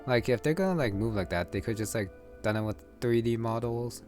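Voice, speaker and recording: A man's voice: mid-range voice, lively intonation, fairly quick, a little mellow, soft background music. A man giving casual, off-the-cuff commentary on a video game in a hesitant, rambling way.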